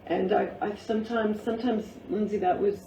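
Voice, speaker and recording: A woman speaks in a mellow voice during an informal discussion. She is reflective and emphatic, often thinking aloud through questions. She sounds distant in an echoey room. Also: medium pitch, steady tone, fairly quick, creaky. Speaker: audience member giving play feedback, teacher. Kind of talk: informal post-reading discussion. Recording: muffled, noisy, echoey room from far off.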